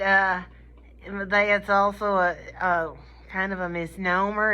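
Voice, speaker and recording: Bright-voiced folksy woman lecturer with twang. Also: mid-range voice. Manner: folksy, playful, colloquial, checks in with listeners. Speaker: lecturer teaching a communication class. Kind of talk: informal, folksy comedic lecture.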